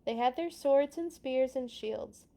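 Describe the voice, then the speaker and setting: A woman's voice: high-pitched, unhurried, gravelly, warm tone. A woman hosting a children's read-along, reading expressively with dramatic emphasis on key words and a casual, chatty touch. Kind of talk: expressive storybook read-aloud with casual asides.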